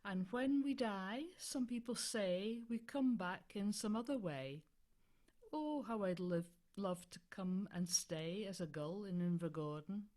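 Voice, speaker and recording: Slow-speaking Scottish woman reciting verse. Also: medium pitch. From northeast Scotland. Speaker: folk club performer. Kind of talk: poetry recital. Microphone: video call. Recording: quiet, distant video call audio.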